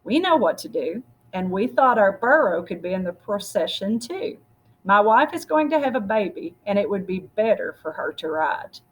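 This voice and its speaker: Lady reading a helpful young husband in a storybook, gentle n earnest, bit husky. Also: medium pitch, big pitch swings, moderate pace, poor audio.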